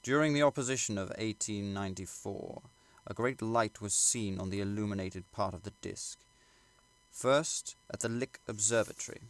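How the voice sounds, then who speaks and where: A man reading literary fiction aloud as an audiobook narrator, in a measured, formal storytelling manner. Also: on the low side, no room sound. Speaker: audiobook reader.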